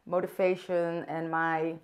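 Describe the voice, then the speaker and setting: A young woman from the Netherlands with a Dutch accent, speaking English as a second language in a soft, thoughtful personal vlog, pausing to search for words. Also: on the low side, flat delivery. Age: young adult. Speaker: vlogger. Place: bright room indoors by a window.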